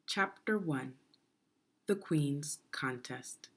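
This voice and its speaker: A woman reading a children's story aloud in a deliberate, expressive teaching manner, putting questions to the listener. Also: low-pitched, slightly rough. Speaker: education consultant reading a children's book aloud.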